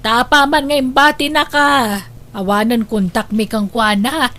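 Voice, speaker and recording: A woman's voice: a little high, steady tone, a little slow, a bit bright. A woman with a Filipino accent acting an aggrieved, fretting grandmother in a radio drama. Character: aggrieved grandmother, fretting over her sacrificing daughter.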